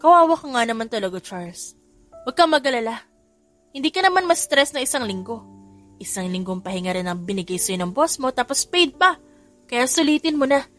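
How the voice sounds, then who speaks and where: A woman narrator plays a cheerful young woman full of questions for her friend. She sounds lively and inquisitive, her pitch riding fairly high over quiet background music. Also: fairly quick. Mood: curious.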